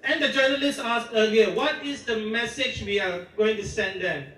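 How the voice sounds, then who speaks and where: A man's voice: high voice, moderate pace. A Singaporean man giving a rousing speech at an outdoor protest rally, taped from far off. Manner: rousing, declamatory, chuckles between points. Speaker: protest speaker. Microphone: amateur recorder from far off. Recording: distant, echoey, loud crowd noise. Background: large cheering crowd.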